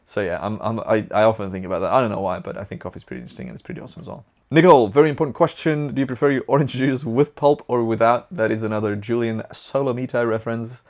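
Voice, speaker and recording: A man's voice: medium pitch, very fast, few pauses. A young man from Belgium with a Flemish accent, a native Dutch speaker, answering viewer questions in a chatty, upbeat and rambling way. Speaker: vlogger.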